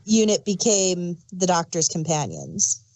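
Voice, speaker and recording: A woman's voice: lowish voice, sing-song, a little slow, darkish tone, dry recording, rough recording. A woman co-hosting a review podcast, explaining details in casual chat and correcting herself as she goes. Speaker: podcast co-host reviewing audio dramas.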